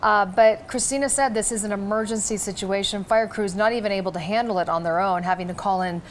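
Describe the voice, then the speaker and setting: Female TV co-anchor, average pitch, live newscast delivery, slight background noise. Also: steady tone, quick pace. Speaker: news anchor. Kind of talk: live breaking-news anchoring.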